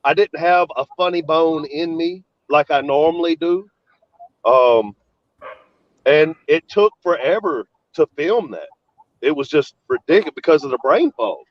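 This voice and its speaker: A man with a slightly high voice hosts a laid-back chat, sounding stuffed up and sniffly. He rambles easily through long stories. The sound is dull and lo-fi, close and dry. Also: a little slow, dry recording. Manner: rambling, relaxed storytelling. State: congested and sniffly, recovering from illness. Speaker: host. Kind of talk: casual podcast chat.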